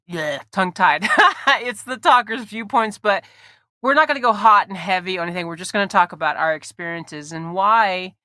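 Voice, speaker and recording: Bright-voiced congested woman podcaster. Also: lowish voice, no echo, studio quality. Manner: chatty, laughs often, frequent ums and restarts. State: congested from allergies. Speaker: podcast host. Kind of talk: casual podcast chat.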